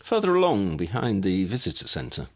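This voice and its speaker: A man voicing a courteous, pedantic old gentleman scholar in an audiobook, wordy, formal and precise. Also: low voice, wildly swooping pitch, moderate pace, exaggerated voice. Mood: measured, precise.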